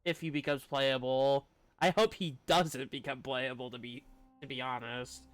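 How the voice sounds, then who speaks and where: A man's voice: medium pitch, steady tone, normal speed, no echo. A man streaming a video game, giving casual commentary in short, trailing reactions.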